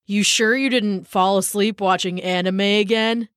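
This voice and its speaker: A woman's voice: mid-range voice, steady tone, measured pace, a bit bright, dry recording, natural voice. A woman voicing a skeptical, teasing schoolboy friend in a children's story, dry and doubting.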